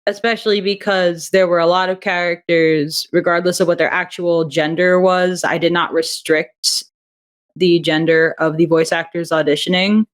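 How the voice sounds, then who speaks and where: A woman's voice: lowish voice, little pitch movement, moderate pace. A woman guest on a podcast, an audio drama creator, talking chattily with many fillers. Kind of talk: casual interview chat.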